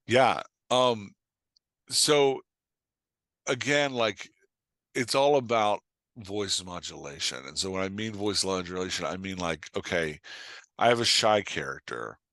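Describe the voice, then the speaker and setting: A man's voice: low-pitched, measured pace, fairly bright tone, no echo. A man giving a casual interview as a guest writing coach, explaining craft emphatically and checking in with the listener as he goes.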